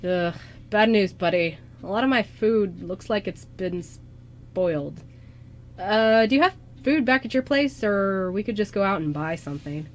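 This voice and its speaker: A woman playing a gentle, earnest young male ghost in an amateur radio drama, speaking sincerely and haltingly to a friend. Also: mid-range voice, animated, slight background noise, natural voice. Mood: thoughtful, rueful.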